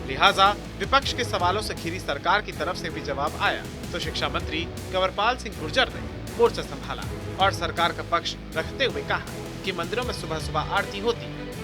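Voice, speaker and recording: A man reading a scripted news report as the narrator. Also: on the high side. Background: music bed.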